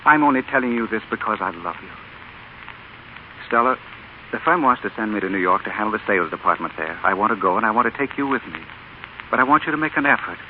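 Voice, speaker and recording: An actor playing an earnest, proper, reproving husband in a 1930s radio drama, speaking in a measured, formal, pleading way. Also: male voice, lowish voice, animated, natural voice. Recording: muffled, noisy old radio broadcast.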